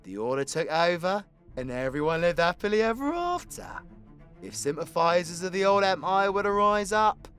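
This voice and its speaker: A man's voice: sits high, expressive, moderate pace, fairly bright tone, faint music behind. A man with a British accent playing a cocky, foul-mouthed, sarcastic supervillain who tells stories in an audio roleplay. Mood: storytelling, wry. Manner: cheeky, sweary, colloquial, theatrical swings into exclamation. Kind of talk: villain audio roleplay, rambling comedic storytelling.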